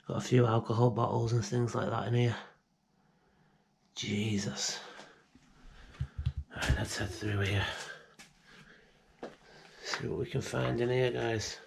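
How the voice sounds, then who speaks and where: British male explorer vlogger, gravelly, casual commentary, slight background noise. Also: medium pitch, level tone, takes it slowly, pauses often. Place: inside rooms of an abandoned house. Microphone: handheld action camera on gimbal.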